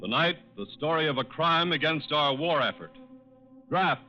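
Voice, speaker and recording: A man's voice: fairly high pitch, animated, moderate pace. An American man as announcer reading a script in formal, declamatory 1940s radio style. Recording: muffled 1940s broadcast, loud music behind.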